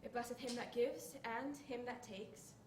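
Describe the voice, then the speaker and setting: A teenage girl playing a poised young noblewoman, reciting a measured, earnest speech on mercy, recorded from afar in an echoey hall. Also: on the high side, steady tone, a little mellow, natural voice. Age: teens. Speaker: student in a monologue contest. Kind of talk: stage monologue recitation. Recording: echoey room, distant mic, faint hiss.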